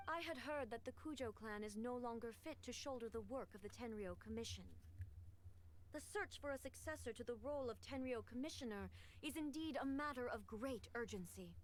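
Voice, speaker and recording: A woman playing a calm, commanding female ruler in a game, speaking in a measured, formal way. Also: on the high side, slight background noise, lightly acted.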